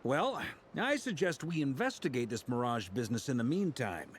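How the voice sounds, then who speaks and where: Eager young male adventurer, played by a man: animated pitch, casual, curious, game dialogue over faint ambience. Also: moderate pace, a little hiss or hum, close to an everyday voice. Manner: casual, expressive. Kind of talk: video game voice acting.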